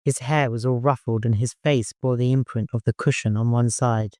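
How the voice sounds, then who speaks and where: A man's voice: medium pitch, steady tone, normal speed, mellow, clean tone, dry recording, clean studio sound. A man reading scripted fiction as an audiobook narrator.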